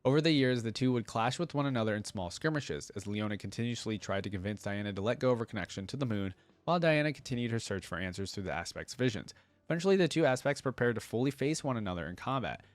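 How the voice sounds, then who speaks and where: A man with a mid-pitched voice hosts a casual reaction video. He reads passages aloud and breaks off to think out loud in offhand asides. The sound is dry, with very little room in it. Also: expressive, quick pace, no echo. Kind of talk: casual reaction commentary, reading wiki text aloud.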